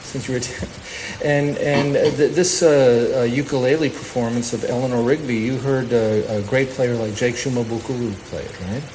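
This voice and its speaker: A man hosting a live radio show on stage, introducing and interviewing guests with halting, repetitive phrasing. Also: mid-range voice, steady tone, a little mellow, a little hiss or hum, rough recording. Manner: halting, hesitant phrasing with repeated words.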